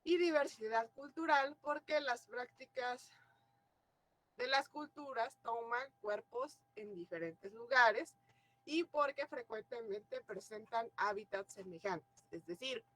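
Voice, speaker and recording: A woman's voice: fairly high pitch, animated, slow, fairly bright tone, poor audio. A Mexican woman teaching a class, explaining ideas and often checking in with her students through questions. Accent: Mexican Spanish. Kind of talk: classroom lecture.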